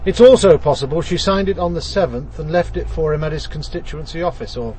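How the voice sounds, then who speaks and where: An actor playing a probing senior British police detective in a radio drama, deliberate and dry in manner. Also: male voice, fairly high pitch, a little mellow, lightly acted, poor audio. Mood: wry, speculative.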